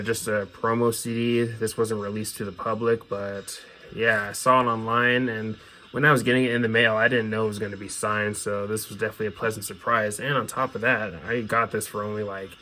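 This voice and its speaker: A man presents a collection in a casual, opinionated fan's style. His voice sits a little low and stays very even in pitch, yet it has a hard, bright edge. There is faint noise behind him. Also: fairly quick. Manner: casual, opinionated fan talk. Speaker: host presenting their music collection. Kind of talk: casual show-and-tell commentary.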